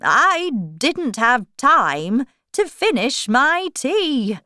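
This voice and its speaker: A woman's voice: sits high, big pitch swings, very slow, bright, forceful tone, no echo, clean studio sound, pitched above the performer's own voice, full character voice. A woman voicing a flustered, apologetic poor hatter, hesitant and pleading, in a storybook.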